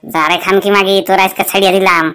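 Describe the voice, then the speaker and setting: A woman's voice: mid-range voice, little pitch movement, rapid-fire, lightly acted. A woman voicing an abusive, foul-mouthed bald drunkard of a husband in a cartoon comedy. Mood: angry bullying. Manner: threatening, swearing, demanding. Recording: very noisy, clicking background.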